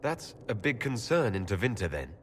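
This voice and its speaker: Lowish-voiced, expressive, concerned hero. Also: male voice, normal speed, a little hiss or hum. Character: calm, concerned male elf leader, the player's hero. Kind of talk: dramatic video game acting.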